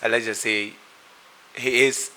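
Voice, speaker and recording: A man's voice: mid-range voice, steady tone, measured pace, bright, forceful tone. Upbeat man hosting an interview. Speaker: interview host. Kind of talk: interview questions.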